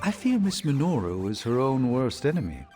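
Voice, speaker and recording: A man acts a serious supernatural warrior in game cutscene dialogue. His voice is mid-pitched with strongly varied intonation, formal and deliberate, with music clearly playing behind it. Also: sing-song, natural voice.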